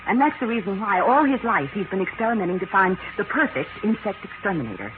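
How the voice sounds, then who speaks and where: An actress playing a proper, guarded wife in a 1940s radio drama, with a formal, earnest manner. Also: female voice, medium pitch, big pitch swings, fast talker, close to an everyday voice. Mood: earnest, protective. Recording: noisy, tinny 1940s radio recording.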